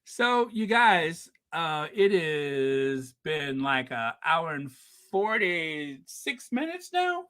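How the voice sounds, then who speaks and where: Lively, slow-talking man hosting a stream. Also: a little high, clean tone, dry recording. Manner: chatty, animated, laughs often. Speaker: livestream host. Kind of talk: casual livestream chat reading viewer comments.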